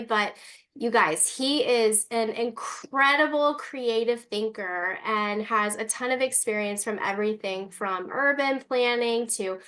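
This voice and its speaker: A woman's voice: fairly high pitch, a bit bright. A woman hosting a webinar on a video call, chatty and loose as she introduces the guest speaker. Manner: chatty, many ums and likes. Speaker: webinar host.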